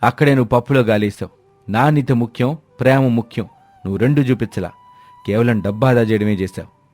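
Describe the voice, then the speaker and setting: A man's voice: mid-range voice, brisk, darkish tone, faint music behind, natural voice. A man playing an earnest village husband who is respectful to elders and admonishing toward his wife in a moral story. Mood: reproachful. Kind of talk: dramatised Telugu moral story acting.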